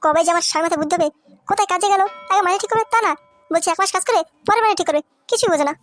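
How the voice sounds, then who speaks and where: A nagging housewife from a comedy cartoon, put on by a performer, with an extremely high voice. She scolds and lectures at breakneck speed while music plays behind. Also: rapid-fire, fairly bright tone. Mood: exasperated. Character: nagging young village wife, scolding, practical. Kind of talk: comic cartoon dialogue.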